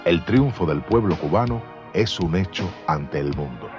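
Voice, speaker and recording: Deep-voiced solemn documentary narrator. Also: male voice, lively intonation, normal speed. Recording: dull sound over music.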